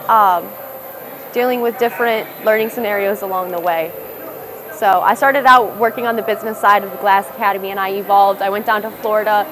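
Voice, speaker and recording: A young woman with a thick Midwestern accent, a rapper speaking candidly in a documentary interview and often restarting her words. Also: medium pitch. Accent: Midwestern American. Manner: candid, reflective, self-correcting restarts. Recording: noticeable background noise.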